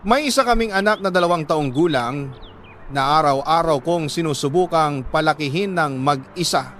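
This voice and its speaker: Higher-voiced Filipino man reading heartfelt letters. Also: moderate pace, a bit bright, slight background noise. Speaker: storyteller reading listener letters. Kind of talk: dramatic scripted narration of heartfelt letters.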